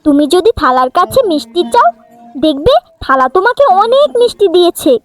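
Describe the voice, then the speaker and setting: Lady doing an excited little cartoon girl, super high bouncy voice, soft music behind. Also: a little fast. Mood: proud, excited. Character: excited, sweet-loving little village girl. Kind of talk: cartoon fairy tale acting.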